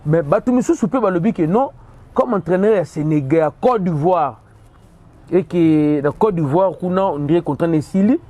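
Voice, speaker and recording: A man from DR Congo hosting a casual football opinion talk, animated and full of repetition, switching between Lingala and French. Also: high-pitched, moderate pace, no echo. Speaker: sports commentator host.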